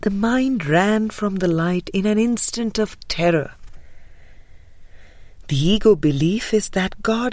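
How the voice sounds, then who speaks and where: A woman narrating a spiritual book from a script, measured and deliberate, with breathy trailing phrase endings. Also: low-pitched, a little mellow, no room sound. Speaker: audiobook narrator.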